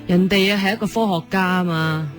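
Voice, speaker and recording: A woman's voice: lowish voice, fairly quick, soft background music, close to an everyday voice. A woman with a Hong Kong Cantonese accent playing an unhappy, yearning young wife in a sci-fi romance radio drama, emotional and plaintive. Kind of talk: radio drama acting with narrated inner monologue.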